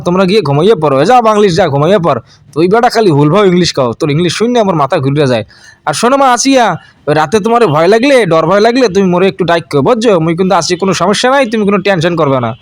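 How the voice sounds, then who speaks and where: A man plays a lecherous old village father-in-law in a cartoon. He speaks quickly in a rustic Bangladeshi dialect, wheedling and scolding. The sound is close and dry. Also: high-pitched, animated, no room sound. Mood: scolding, coaxing. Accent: Bangladeshi regional. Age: older. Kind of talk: amateur cartoon voice acting.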